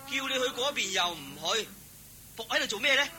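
A man with a Hong Kong Cantonese accent playing a simple, playful, eager young farm servant in a radio drama, chattering excitedly and stumbling over words. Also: very high-pitched, a little fast, full character voice. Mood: playful scolding. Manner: excitable, stammering, deferential chatter. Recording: hissy old radio drama recording.

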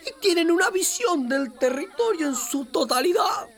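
A woman's voice: very high voice, moderate pace. A woman playing a friendly, wise capybara who patiently explains things to a child in children's radio theatre, with an Argentine accent. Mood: enthusiastic. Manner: patient, expressive explaining, storyteller-like. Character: friendly wise capybara guiding a little girl.

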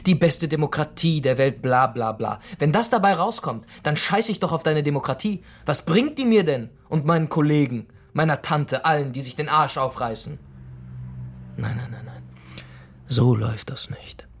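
An actor playing an embittered young delivery worker in a radio drama, sarcastic, provocative and colloquial in a naturalistic way. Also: male voice, fairly high pitch, lively intonation, moderate pace, a little hiss or hum. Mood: bitter, angry. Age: young adult.

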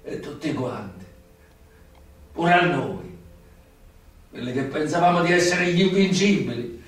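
An actor playing an old, sick, brooding mobster turned informant in a radio play, halting and theatrical, with long pauses. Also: male voice, a little high, lively intonation. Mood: bitter. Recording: very echoey, distant recording with music behind.

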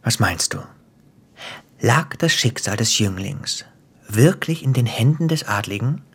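A man acting in a German radio play as a cruel, gleeful crime boss, theatrical and taunting, with a husky voice that drops into whispers. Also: lowish voice, animated, a little slow, full character voice. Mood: sly, commanding. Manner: theatrical, taunting, savoring storyteller.

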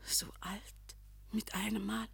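Brooding tired scared lady, slow and dramatic, poetic radio play. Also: mid-range voice, little pitch movement, full character voice. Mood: whispered dismay. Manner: dramatic, halting, drifting into breathy hush. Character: weary, fearful, brooding lady sensing sudden old age.

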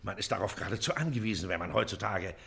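Pompous, lively-pitched district official. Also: male voice, racing, vocal fry, full character voice. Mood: self-important, brisk. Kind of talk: theatrical German radio play acting.

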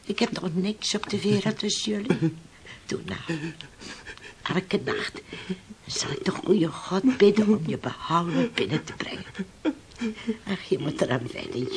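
A woman's voice: fairly low pitch, a little hiss or hum. An actress playing a stern, desperate middle-aged fisherman's mother in a Dutch radio play, by turns bullying and coaxing. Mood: coaxing, tender. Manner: scolding and exclamatory, turning to pleading.

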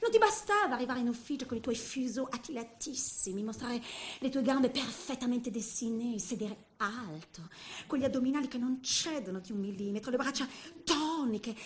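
A woman's voice: on the high side, slight background noise, natural voice. An actress playing a jealous, vindictive middle-aged Frenchwoman with a French accent in a theatrical comic monologue, volatile and unhinged. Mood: hushed menace. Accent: French accent in Italian. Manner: volatile, swinging from hushed menace to shrieks.

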